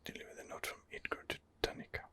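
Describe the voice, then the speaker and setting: A man's voice: fast, bright, forceful tone. A man giving whispered ASMR commentary on a game as its host, in a hesitant, rambling manner. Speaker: gaming video host.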